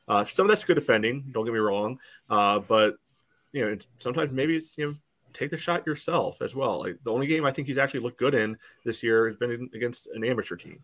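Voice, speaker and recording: A man's voice: mid-range voice, fast talker, a bit bright. A man in a casual, opinionated sports debate as a podcast panelist, blunt and often saying "you know".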